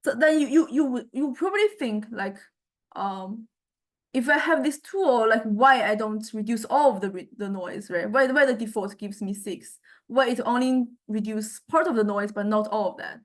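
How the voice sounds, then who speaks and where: A woman giving a step-by-step software tutorial as a lecturer, hesitant and halting, often checking in with listeners. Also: fairly high pitch. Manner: hesitant, halting delivery with repeated check-ins.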